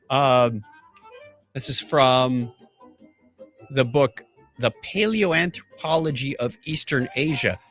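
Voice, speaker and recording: A man's voice: fairly high pitch, a bit bright. A man hosting a podcast in a casual, jokey, conversational way. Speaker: podcast host.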